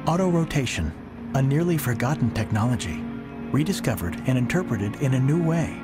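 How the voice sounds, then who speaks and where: A man reading a scripted promotional voice-over in a measured, deliberate way, pausing slowly between phrases. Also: medium pitch, expressive. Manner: measured, deliberate delivery with slow pauses. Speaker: corporate video narrator. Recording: voice-over under loud music.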